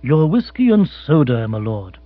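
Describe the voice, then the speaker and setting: A man voicing an imperturbable butler in a comic audiobook, formal, measured and deferential. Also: mid-range voice, big pitch swings. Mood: unruffled, deferential. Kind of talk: comic audiobook character acting. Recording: muffled recording with hum.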